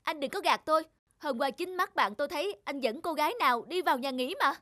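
A woman voicing a jealous young wife in a cartoon, shrill and accusing. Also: fast talker, sharp tone, no room sound, studio quality. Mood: jealous, angry.

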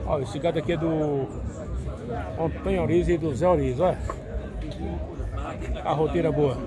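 A man with a Northeast Brazilian accent walking a cattle fair, calling out prices in lively, repetitive sales patter. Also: a little high, unhurried. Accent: Northeast Brazilian Portuguese. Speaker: cattle fair video host. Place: outdoor cattle fair pens, busy crowd. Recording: crowd noise behind.

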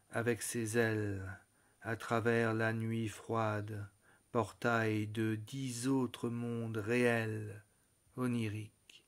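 A man recites literary verse at a very slow pace. His medium-pitched voice stays level, with little melodic movement. He sets out each short phrase deliberately and leaves pauses between them. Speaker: poetry reader.

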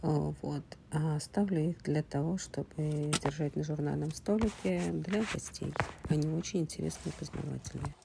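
Soft-spoken, low-voiced, slightly ill woman. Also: normal speed, hardly any pauses. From Russian-speaking, living in the US. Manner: chatty, with many fillers, often breathy and half-whispered. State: slightly ill, speaking softly. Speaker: vlogger. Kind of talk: casual unboxing vlog narration. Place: home, indoors. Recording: loud background noise.

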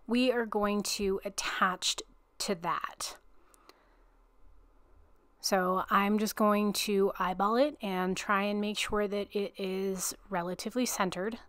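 A woman calmly narrating a craft tutorial at an unhurried pace. Her voice is smooth and clean, and it sits close and dry with no room sound. She keeps it casual and chatty, poking fun at herself now and then. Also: medium pitch, no echo. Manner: relaxed, chatty, self-deprecating. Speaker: card-making tutorial host.

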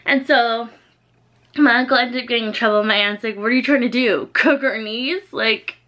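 A woman's voice: fairly high pitch, moderate pace, dull audio. A young woman telling a casual vlog story in an emphatic, chatty way, peppered with filler "like". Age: young adult. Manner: animated, emphatic storytelling with frequent "like". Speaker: vlogger. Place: small bedroom indoors.

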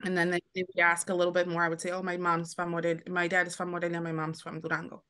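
A woman's voice: fairly low pitch, monotone, fast, a bit bright, clear, resonant tone. A woman guest on a podcast, talking at length in a casual, storytelling way. She restarts her sentences often and slips into the voices of the people she quotes. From United States. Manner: chatty, many restarts, acts out quoted voices. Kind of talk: casual interview chat.

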